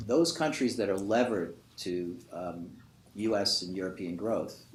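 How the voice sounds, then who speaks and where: An older man, an economist giving emphatic, argumentative, unscripted remarks on a panel, often qualifying his own points. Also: sits low. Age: 50s or 60s. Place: conference panel before an audience. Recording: echoey room with faint noise.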